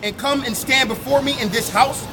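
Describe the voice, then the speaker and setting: A man's voice: very high voice, moderate pace. A man reading scripture aloud on a city street in a proclaiming, rising cadence, then preaching on it. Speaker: scripture reader. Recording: street crowd and music behind.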